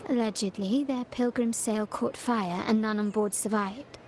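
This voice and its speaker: An actress playing a composed, well-informed young woman in a video game, speaking in a measured, articulate way. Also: mid-range voice, darkish tone, slight background noise, lightly acted. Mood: hushed, explanatory. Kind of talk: scripted video game dialogue. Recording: background music under the voice.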